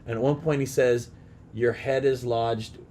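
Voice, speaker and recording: A man's voice: medium pitch, steady tone, unhurried, dark tone, slight background noise. A man co-hosting a podcast in casual, conversational talk. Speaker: podcast co-host; teacher. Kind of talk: casual chat.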